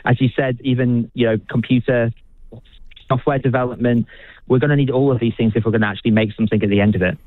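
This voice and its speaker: A man's voice: mid-range voice, steady tone, no room sound. British man on a tinny phone line. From United Kingdom. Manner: enthusiastic, halting, many restarts and fillers. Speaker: physicist and researcher. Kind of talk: radio interview guest.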